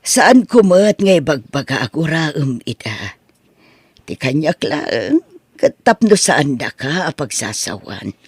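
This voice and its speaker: Man playing husky, swooping worried Ilocano mother. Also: a little high, very animated, slow pace, no room sound, heavily acted. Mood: pleading. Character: worried, gentle, poor mother who takes in laundry. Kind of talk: Ilocano audio drama acting.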